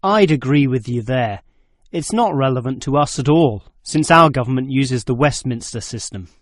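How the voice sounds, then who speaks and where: A man acting a critical, precise university student in a scripted exam dialogue, speaking deliberately. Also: medium pitch, expressive, no room sound, natural voice. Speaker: student in a listening test dialogue.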